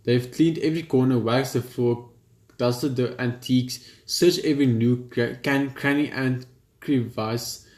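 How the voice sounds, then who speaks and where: A young man hosting a gaming video, reading game text aloud haltingly with casual commentary. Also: mid-range voice, steady tone, darkish tone. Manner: halting when reading, stumbles over words. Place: indoor room at home. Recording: roomy echo, noticeable background noise.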